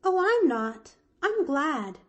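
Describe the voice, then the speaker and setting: Storybook little girl, played by a woman: high-pitched, animated, unhurried, innocent and eager. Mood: glad, earnest. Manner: bubbly, earnest, innocent. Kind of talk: audiobook character voice.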